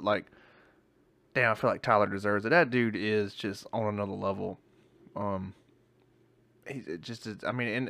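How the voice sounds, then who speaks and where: A man's voice: on the low side, gravelly, a bit bright, no echo. A male podcast co-host in casual chat, rambling and swearing, often restarting his sentences, with hiccups.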